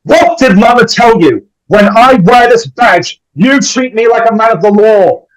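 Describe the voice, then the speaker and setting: A man's voice: very high voice, lively intonation, a little slow, rough recording. A man playing an earnest, slightly awkward young deputy in an amateur script read, hesitant and reassuring. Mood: flustered.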